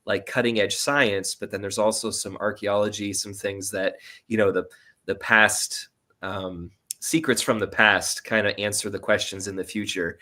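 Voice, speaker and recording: Male interview guest. Crisp bright tone, lowish pitch, informal, thinks out loud. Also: fairly even intonation, normal speed. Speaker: guest writer and sound designer. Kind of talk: casual interview chat.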